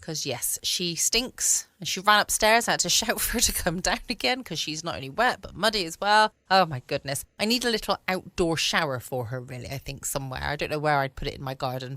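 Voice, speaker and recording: A woman's voice: low-pitched, pushed and bright, dry recording. A British woman chats alone as host of a late-night podcast. She is informal and self-deprecating, often asking listeners rhetorical questions. The sound is dry and close. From United Kingdom. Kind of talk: casual late-night solo chat. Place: home, close dry recording.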